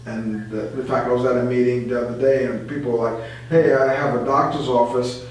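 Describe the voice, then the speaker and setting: A man's voice: medium pitch, fairly even intonation, a little fast. A man speaking as a community advocate guest in an informal radio discussion, explaining and repeating phrases to stress his points. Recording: very echoey room, distant mic, faint noise.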